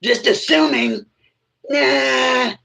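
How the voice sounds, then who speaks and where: Gravelly blunt-talking woman. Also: sits high, drawn-out words, fairly bright tone. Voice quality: raspy, gravelly voice. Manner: blunt, emphatic, swears freely. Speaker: livestream guest. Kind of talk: casual livestream chat.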